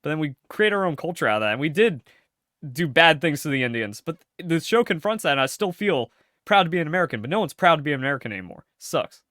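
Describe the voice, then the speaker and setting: A young man co-hosting a casual podcast, ranting in bursts with lots of pitch jumps. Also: quick pace, no echo, studio quality. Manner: animated, pitch jumps when fired up. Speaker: podcast co-host. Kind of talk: casual political chat.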